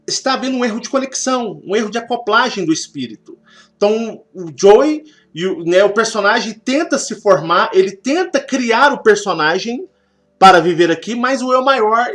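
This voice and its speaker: High-voiced Brazilian man explaining. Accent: Brazilian Portuguese. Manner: rambling, repeats himself, many fillers. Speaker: spiritual channel host. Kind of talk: spiritual talk, explaining.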